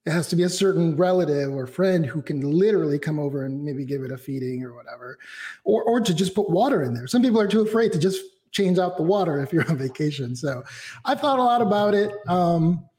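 High-voiced reflective man, interview guest. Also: animated, brisk, mellow. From Mexico. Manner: reflective, talks in long winding sentences with fillers. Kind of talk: casual interview.

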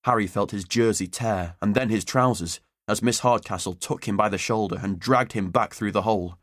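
A man's voice: on the low side, slightly rough, dry recording, clean studio sound. A man reading a children's story as an audiobook narrator, in scripted narration.

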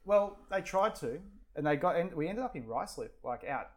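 A man's voice: a little high, lively intonation, moderate pace. A man in his forties with an Australian accent, telling stories in casual chat, rambling with many fillers and restarts and swearing freely. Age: 40s. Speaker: guest. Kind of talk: casual storytelling.

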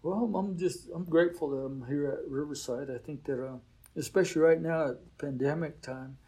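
Unhurried older man with a mellow voice. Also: fairly high pitch. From Oklahoma. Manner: unhurried, reflective, frequent fillers like "you know". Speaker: teacher at a boarding school. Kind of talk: relaxed interview conversation.

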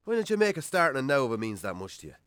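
An actor playing a defensive, hard-drinking Irish bachelor farmer in a naturalistic radio drama, colloquial and dryly sarcastic. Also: male voice, mid-range voice, big pitch swings, very fast, fairly bright tone, clean tone, dry recording. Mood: bitter, sarcastic.

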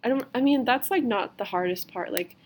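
A woman's voice: fairly high pitch, fairly quick. Young american woman hosting a chill podcast, says like constantly, bit of background hiss. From United States. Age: 20s. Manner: says "like" constantly, loose and chatty. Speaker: podcast host. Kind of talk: casual chat.

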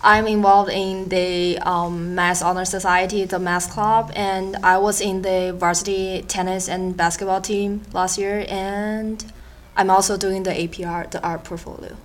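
Flat-toned teenage girl from China. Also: mid-range voice. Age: teens. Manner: hesitant, halting with fillers and restarts. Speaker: student guest. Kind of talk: casual interview answers.

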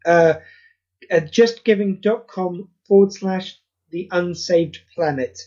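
Chatty husky man, lo-fi. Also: sits high, slow pace, darkish tone. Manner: chatty, self-deprecating, rambling with many restarts. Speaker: podcast host and audio play creator. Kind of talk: casual podcast chat.

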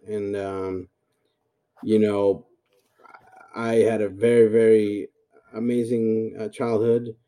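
A man in his forties from El Salvador, giving a reflective interview in a halting, thoughtful and polite manner. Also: fairly low pitch, little pitch movement, dark tone. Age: 40s. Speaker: podcast guest.

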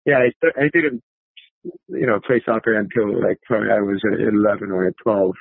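A man with a Korean accent answers as an interview guest in a lowish voice. He speaks conversationally and haltingly, with many filler words, over a thin, phone-like line with no room sound. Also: creaky, husky, no echo. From South Korea. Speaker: podcast guest, company executive.

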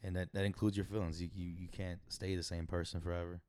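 Low, raspy young man musing. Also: little pitch movement, fast talker, darkish tone, no room sound, studio quality. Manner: thoughtful, hesitant, many "uh" and restarts. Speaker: podcast co-host. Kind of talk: casual chat.

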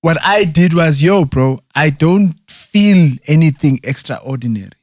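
A man's voice: on the high side, animated, measured pace, no echo, clean studio sound. A man in casual chat who rambles, restarts often and keeps checking in with "right?". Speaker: conversation partner.

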